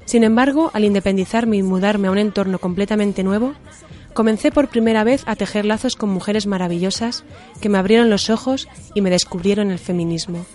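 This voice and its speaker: A woman's voice: medium pitch, a little fast, darkish tone, faint music behind. A young adult woman reading a reflective personal testimony.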